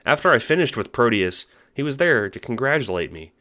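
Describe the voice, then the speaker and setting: A man's voice: medium pitch, big pitch swings, a little fast, sharp tone. A man playing a meek, earnest young male troll who talks with a hesitant, apologetic stammer in an audio drama monologue. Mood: earnest, nervous. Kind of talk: audio drama monologue, recounting adventures as a log.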